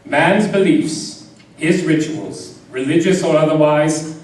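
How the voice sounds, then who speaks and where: A man's voice: fairly high pitch, slow pace, darkish tone. A man playing a loyal, anguished British army captain, earnest and emotional, in a live horror radio drama. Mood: subdued, reflective. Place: live radio theater stage. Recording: very echoey hall, distant mic, audience noise.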